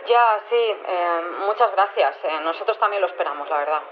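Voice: mid-range voice, lively intonation, normal speed, lo-fi sound. An actor playing an awkward, polite correspondent, hesitant, heard through a radio-link filter. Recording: noisy, band-limited radio link with clicks.

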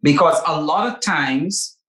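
A man's voice: on the high side, unhurried, fairly bright tone. A man co-hosting a Bible talk show, speaking emphatically and repeating words to drive his points home. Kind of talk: preacherly faith talk. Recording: roomy echo.

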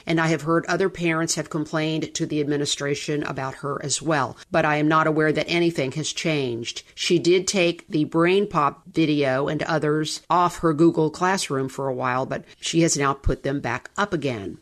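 Commentator for an opinionated news update, mid-pitched voice, emphatic and indignant, moving between quoted material and personal editorializing. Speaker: radio or podcast commentator.

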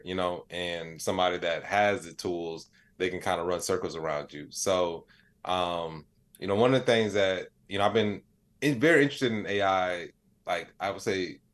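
A man with a fairly low voice talks as a guest in an interview. He tells his stories casually and loosely, sprinkling in "like" and "you know" as he goes. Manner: rambling, peppered with "like" and "you know". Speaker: interview guest, comedian and AI storyteller. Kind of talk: casual conversational storytelling.

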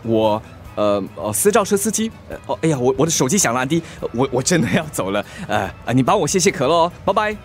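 A man's voice: mid-range voice, big pitch swings, fast, hardly any pauses, faint music behind, lightly acted. A male actor in a radio drama playing a proud, easily flustered young driver with a Singaporean accent, blustering and stammering. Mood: flustered, nervous. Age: young adult.

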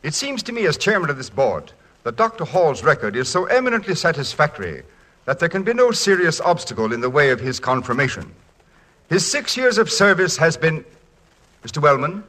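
An actor playing a formal, orderly college board chairman in 1940s radio, with a pompous, measured manner. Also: a little high, sing-song, pushed and bright, heavily acted. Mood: stern, formal. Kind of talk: 1940s radio comedy acting.

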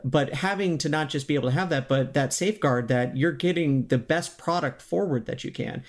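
A man's voice: fairly high pitch, fairly quick. A man speaking as an interview guest, explaining things in an earnest, conversational way. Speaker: podcast guest, synthetic voice company executive.

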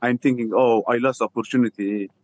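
Afghan-accented man telling his story haltingly. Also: medium pitch, fairly even intonation. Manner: halting, simple non-native English with repeated phrases. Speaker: interview guest, refugee theatre founder. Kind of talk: personal storytelling in an interview.

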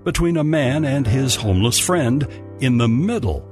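A man's voice: mid-range voice, animated, normal speed. A man narrating a scripted radio story as the programme's announcer. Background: music.

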